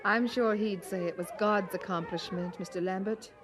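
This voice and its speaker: An actress playing a devoted, sensible preacher's wife in a radio drama, gentle but firm in manner. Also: female voice, medium pitch, fairly even intonation, moderate pace, a faint crowd, close to an everyday voice. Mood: tender.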